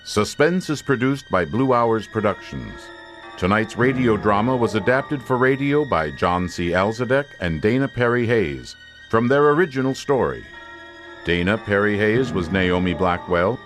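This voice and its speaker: A man announcing a scripted suspense radio show in a dramatic, deliberate old-time style. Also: fairly low pitch, animated, fairly bright tone, soft background music.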